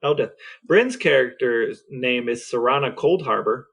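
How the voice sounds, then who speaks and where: A man chatting casually as a podcast co-host, explaining things in a halting, conversational way. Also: medium pitch, animated, a bit bright, slightly rough.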